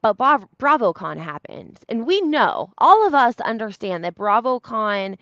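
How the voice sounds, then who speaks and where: Gravelly woman chatting, slightly dull audio. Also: on the high side, big pitch swings, measured pace, bright, forceful tone, no room sound. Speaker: YouTube host recapping reality TV. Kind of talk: casual gossip commentary, talking to live audience.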